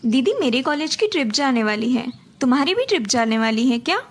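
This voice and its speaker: A woman's voice: high-pitched, very animated, brisk, slight background noise. A woman voicing a touchy young college girl, the younger sister in a cartoon story. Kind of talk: dramatised Hindi cartoon story dialogue.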